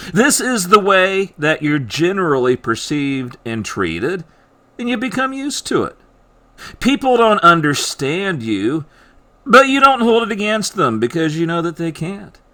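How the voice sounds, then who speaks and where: A man narrating his own writing like an audiobook, with deliberate, emphatic and dramatic phrasing. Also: a little high, bright, forceful tone. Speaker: author reading own work.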